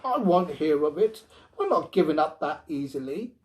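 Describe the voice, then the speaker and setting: A man's voice: a little high, sing-song, normal speed, darkish tone, voice pushed up. A man voicing a stubborn, exasperated mother in a story, firm and emphatic. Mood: exasperated, grim. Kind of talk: storybook character reading.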